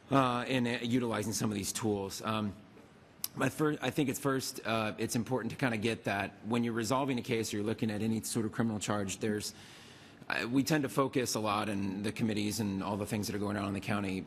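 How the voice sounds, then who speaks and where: A hoarse man presents to a city committee in a very low voice, speaking fast. He stumbles through restarts and fillers as he explains. Faint room noise sits behind him. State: hoarse from a cold. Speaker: city attorney's office lawyer. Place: city council meeting room.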